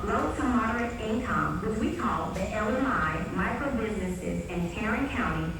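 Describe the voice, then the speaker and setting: A woman's voice: medium pitch, distant mic, background noise. A woman joining by video call, reading a project summary steadily with a few slips. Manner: steady, reads with some slips. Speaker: team presenter. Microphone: remote call played over room speakers. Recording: call audio played into an echoey room.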